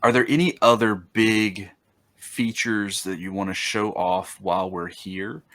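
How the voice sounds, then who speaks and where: A man in his thirties hosting an interview over a video call, halting and repeating words as he affirms his guest. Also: lowish voice, fairly even intonation, a bit bright. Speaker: host. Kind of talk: casual interview talk.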